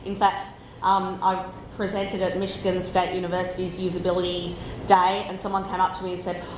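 A woman with an Australian accent giving a conference talk, loud and chatty, slowing down on purpose. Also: fairly low pitch, completely flat delivery, echoey room, a little hiss or hum, rough recording. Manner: loud, chatty, deliberately slowed. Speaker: conference speaker. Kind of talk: conference presentation. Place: conference room.

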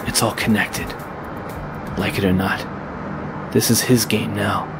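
A man's voice: fairly low pitch, flat delivery, measured pace, music in the background. A man acting a brooding masked vigilante detective in an audio drama, grim and clipped. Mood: guarded.

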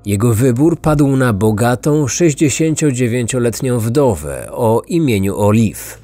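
A man narrating a scripted true-crime story as a podcast host, in a measured storytelling manner. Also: mid-range voice, expressive, warm tone, dry recording.

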